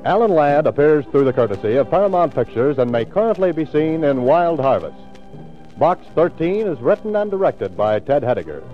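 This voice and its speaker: Vintage male announcer, lively swooping delivery, muffled radio sound with faint music behind. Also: on the high side, moderate pace.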